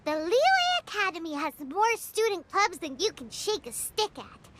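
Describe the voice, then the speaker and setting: A woman voicing a tiny, excitable childlike fairy companion in a video game, squeaky and bubbly. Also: moderate pace, noisy background, exaggerated voice. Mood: eager pitching. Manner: speaks of self in third person, bubbly. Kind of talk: video game character acting.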